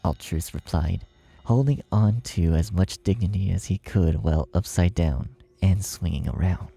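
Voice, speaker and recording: A man's voice: low-pitched, moderate pace, gravelly, dark tone, breathy edge, soft background music. A man reading a fan fiction story aloud as its narrator in a scripted style.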